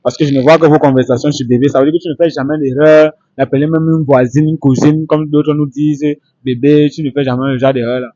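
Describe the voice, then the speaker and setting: High-voiced man hosting street interviews. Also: steady tone, warm tone, no echo, highs cut off. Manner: repetitive, halting phrasing.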